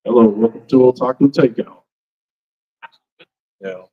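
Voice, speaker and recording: A man from Arkansas, an indie comic creator, chatting casually at his convention booth and calling out to passersby, relaxed and halting with many restarts. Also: mid-range voice, lo-fi sound.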